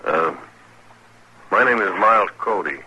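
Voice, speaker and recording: An actor playing a short-tempered Western gambler with blunt, folksy speech in an old radio drama. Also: male voice, lowish voice, animated, unhurried, pushed and bright, slightly rough, exaggerated voice, poor audio. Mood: indignant, demanding. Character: short-tempered frontier gambler, anxious for his girl. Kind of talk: 1950s radio western acting. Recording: old radio broadcast recording.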